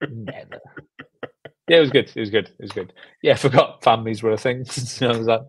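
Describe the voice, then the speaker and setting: A man's voice: medium pitch, brisk, breathy edge, no room sound. A young man talking casually and informally as an interview guest, in an easygoing way. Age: young adult. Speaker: film director, interview guest. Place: indoor room at home, on a video call.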